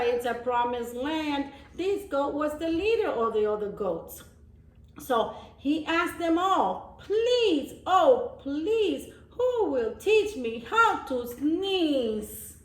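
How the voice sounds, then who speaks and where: A woman reading a picture book aloud to young children, pausing to explain things simply like a teacher. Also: sits high. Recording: echoey room.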